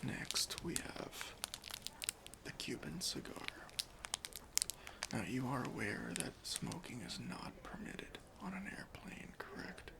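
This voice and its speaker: An officious chief security officer acted out by a man in an ASMR roleplay. The voice is whispered and creaky, with pitch that swings up and down. The questioning is deliberate and mock-stern, yet polite and open to persuasion. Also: big pitch swings, heavily acted. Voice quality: whispery, breathy.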